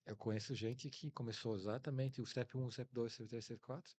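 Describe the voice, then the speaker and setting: A man's voice: mid-range voice, steady tone, a little fast, darkish tone, husky. A middle-aged man with a Brazilian Portuguese accent, talking casually as an interview guest and acting out bits of dialogue as he tells his stories. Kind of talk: casual anecdotal interview talk.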